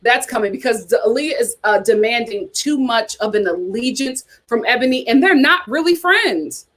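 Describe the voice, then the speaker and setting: A woman with a fairly high voice hosts a casual reality TV chat. She is animated and opinionated, talking straight to her viewers as she reacts to their comments. Her delivery is blunt and gossipy, with phrases repeated for emphasis. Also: normal speed. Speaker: host of a reality TV chat show.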